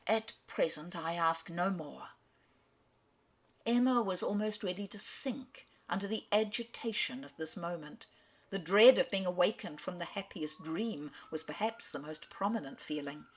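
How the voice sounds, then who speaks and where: Casting: Australian woman speaker, literary lecturer, lowish voice, expressive reader. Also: husky. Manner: measured, expressive storytelling. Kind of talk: lecture ending in a dramatic reading.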